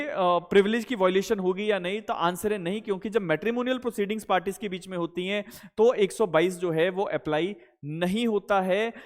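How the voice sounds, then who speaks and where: A man with an Indian accent giving an explanatory law lecture, posing questions and answering them in a didactic way, mixing in English legal terms. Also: high-pitched. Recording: long hall-like reverb.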